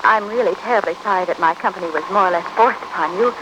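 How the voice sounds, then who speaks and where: A woman's voice: medium pitch, fast, muffled recording, close to an everyday voice. An actress playing a young, trusting train passenger in a 1950s radio thriller, speaking in a polite, earnest, girlish way. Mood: apologetic, trusting. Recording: noisy old radio recording.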